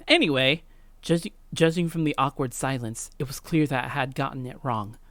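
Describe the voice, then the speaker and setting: A woman's voice: rumbling low, moderate pace, no echo. A woman doing a casual, slangy Let's Play, chatting and reading visual novel text aloud in a playful, informal way.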